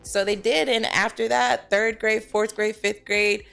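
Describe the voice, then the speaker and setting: Young woman from Tennessee, interview guest, mid-pitched conversational storyteller, faint music underneath. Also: little pitch movement, unhurried, creaky, a bit bright, clean tone. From Tennessee, United States. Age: young adult. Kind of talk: casual interview chat.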